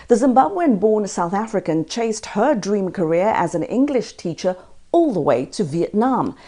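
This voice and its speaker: News show host lady, polished, warm mellow tone, really animated pitch. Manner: polished, clear delivery. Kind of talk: scripted presenting and interviewing.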